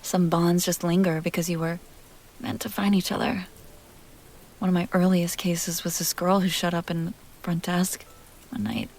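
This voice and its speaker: Breathy, creaky vampire heiress, acted by a woman. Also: on the low side, a little mellow, rough recording. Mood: wistful, confiding. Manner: breathy, often whispering, unhurried, coaxing. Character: ageless vampire heiress, teasing, tender, wistful seductress. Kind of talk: intimate ASMR roleplay monologue.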